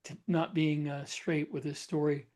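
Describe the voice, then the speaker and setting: A man co-hosting a podcast who chimes in with quick fact-checking asides during casual chat. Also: on the high side, level tone, a little mellow. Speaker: podcast co-host.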